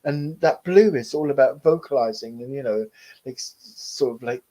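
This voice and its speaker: A man in the middle of a live spirit reading, talking gently and reassuringly. His speech wanders, full of fillers, restarts and soft laughs, at an ordinary male pitch. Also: moderate pace, rough recording. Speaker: medium. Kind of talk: live online mediumship reading.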